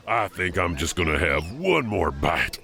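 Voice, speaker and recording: A man's voice: low voice, very animated, slow pace, bright, forceful tone, heavily acted. A man playing a folksy janitor storyteller, rambling and mumbling through mouthfuls of food as he hosts an audio drama anthology. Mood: jovial, rambling. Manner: chewing and mumbling between phrases, rambling. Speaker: host narrator of a horror anthology. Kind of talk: in-character scripted hosting.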